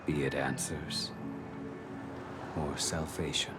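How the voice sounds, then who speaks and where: An actor voicing a grim, weary killer in a video game, grave, brooding and deliberate. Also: male voice, extremely low pitch, little pitch movement. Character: grim, weary male killer longing for death. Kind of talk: dramatic game voice acting. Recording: loud music over the voice. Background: loud game music.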